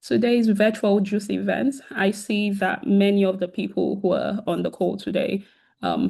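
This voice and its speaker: Woman host, sore throat, lower register with some vocal fry, heavy "um" fillers, video call. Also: a little mellow. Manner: many "um" fillers, restarts, addresses students directly. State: sore throat, occasional coughing. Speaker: founder and host of a virtual career event. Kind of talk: casual welcoming talk on a video call.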